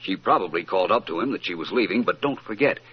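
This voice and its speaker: A suave, witty gentleman detective from a vintage radio mystery. His low voice swings freely and expressively, urbane and teasing. The old recording is muffled. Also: expressive, fast, slightly rough. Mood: assured, explanatory. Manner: urbane, teasing, explaining with assurance. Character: suave, witty, self-assured male amateur detective.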